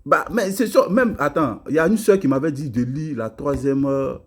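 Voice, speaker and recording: A man's voice: a little high, moderate pace, slight background noise. A man hosting a live religious debate stream, reading verses aloud and laughing often with his callers. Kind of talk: casual live debate chat.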